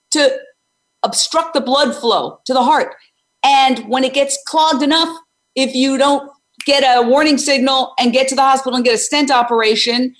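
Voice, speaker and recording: A woman in her sixties hosting an interview, talking energetically and emphatically, often posing questions and answering them herself. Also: high voice. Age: 60s. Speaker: host; former news reporter and animal rights activist. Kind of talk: energetic interview and advocacy talk.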